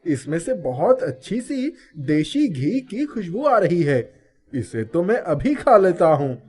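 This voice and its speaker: A man's voice: high voice, big pitch swings, slow pace, a little mellow, heavily acted. A man voicing a naive, simple-minded young son in a storybook tale, guileless and wondering. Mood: innocent, puzzled. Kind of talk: storybook character dialogue.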